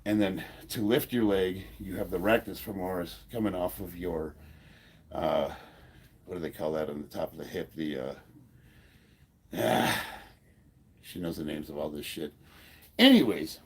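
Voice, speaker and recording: A fifty-something American man coaches a workout in a husky, congested voice. He sounds short of breath and talks loosely, rambling and trailing off in the middle of thoughts. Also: sits low. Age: 50s. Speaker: powerlifting coach. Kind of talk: casual training vlog.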